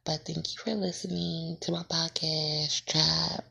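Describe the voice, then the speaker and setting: A woman's voice: low-pitched, level tone, a little slow, vocal fry, pushed and bright, husky. A woman, hosting her own casual podcast and rambling, often correcting herself and laughing at her slips. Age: late 20s. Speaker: podcast host. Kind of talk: casual solo podcast intro.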